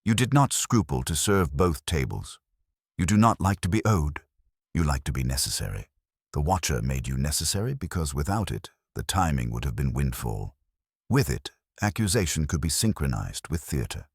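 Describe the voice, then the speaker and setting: A man's voice: rumbling low, very animated, normal speed, slightly rough, no room sound, studio quality, heavily acted. A man voicing an imperious, exacting master detective in an audiobook, formal, pedantic and coldly accusing.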